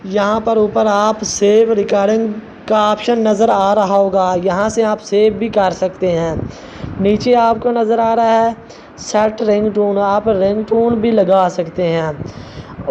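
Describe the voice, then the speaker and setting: A man with a high, feminine-sounding voice, narrating a step-by-step app tutorial as its host. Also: a little mellow. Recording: dull lo-fi sound, faint background noise.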